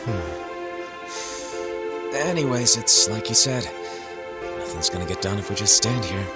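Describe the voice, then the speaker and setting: A man's voice: fairly low pitch, lively intonation, a little slow, over loud music. An actor playing a casual, slangy young man with a slightly moody, laid-back manner in a video game. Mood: offhand. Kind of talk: video game cutscene acting.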